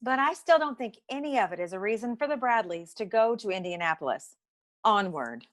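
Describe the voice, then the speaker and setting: An actress playing a practical, skeptical sleuthing partner in a comedic noir audio play, brisk and wry. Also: female voice, mid-range voice, lively intonation, close to an everyday voice.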